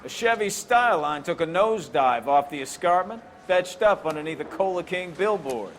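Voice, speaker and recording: A sardonic police captain assigning detectives a new case. His voice sits a little high. Faint noise hangs behind him. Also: animated, normal speed. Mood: brusque. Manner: brusque, sardonic. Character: gruff 1940s police captain man, brusque.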